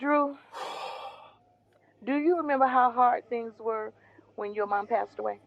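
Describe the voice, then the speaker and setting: A devout mother in an audio drama, consoling her son with gentle, earnest faith. Her voice sits a bit high and comes through slightly dull and lo-fi. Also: a little slow, vocal fry. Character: Mama Ronnie, warm devout foster mother. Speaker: Drew's mother.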